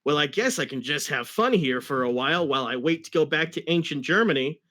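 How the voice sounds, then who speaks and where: Man doing a high, hapless teenage hedgehog hero. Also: expressive, fairly quick, sharp tone. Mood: carefree. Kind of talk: amateur dramatic reading of fan fiction.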